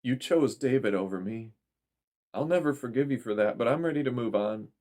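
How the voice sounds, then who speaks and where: A man's voice: mid-range voice, brisk, a little mellow, voice pushed up, natural voice. Guy reading as a fed up bitter grown daughter, blunt, short sentences. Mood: curt, bitter. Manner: blunt, terse. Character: bitter, resolute estranged adult daughter.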